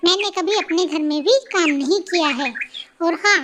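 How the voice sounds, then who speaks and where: A lazy elder-sister bird, played by a woman, very high in pitch and full of complaint and self-pity. It is children's cartoon storytelling, with faint noise and chirping behind the voice. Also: normal speed. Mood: irritated, defiant. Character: lazy, work-shy married female bird, elder sister.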